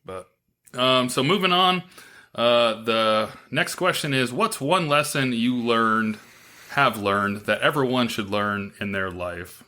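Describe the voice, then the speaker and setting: A man's voice: mid-range voice, takes it slowly, sharp tone. A man hosting an interview podcast in a casual, upbeat way, with plenty of filler words. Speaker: podcast host.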